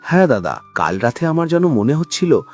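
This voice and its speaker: A man as an uneasy, animated villager. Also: a little high, normal speed, soft background music. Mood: weary, uneasy. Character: weary, uneasy male villager. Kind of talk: Bengali cartoon voice acting.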